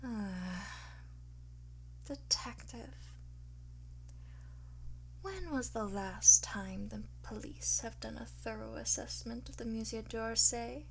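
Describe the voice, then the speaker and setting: Woman playing a slow, teasing, smug lady thief. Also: on the high side, gravelly, slight background noise, rough recording. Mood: sly, gloating. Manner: flirtatious, theatrical, smug. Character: teasing, boastful female master thief under interrogation. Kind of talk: ASMR roleplay, breathy whisper and soft speech.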